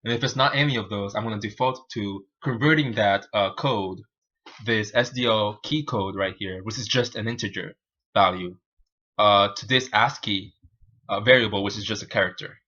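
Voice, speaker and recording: A man whose native language is Spanish, hosting a programming livestream and thinking aloud in an informal, halting way as he explains his code. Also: fairly low pitch, pushed and bright, muffled recording. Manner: halting, informal, self-narrating. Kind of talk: live coding tutorial, thinking aloud.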